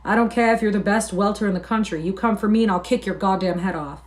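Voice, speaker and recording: A woman's voice: mid-range voice, quick pace, natural voice. A woman voicing a mocking, aggressive ranch man with coarse, taunting rustic speech, in an audiobook reading. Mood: scornful.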